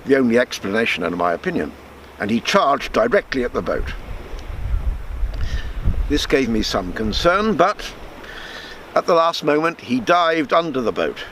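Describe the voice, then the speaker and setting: An older man reading a true sea tale aloud as a storyteller, measured, sometimes stumbling and repeating words. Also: mid-range voice, a bit bright, slight background noise, rough recording. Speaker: storyteller reading his book.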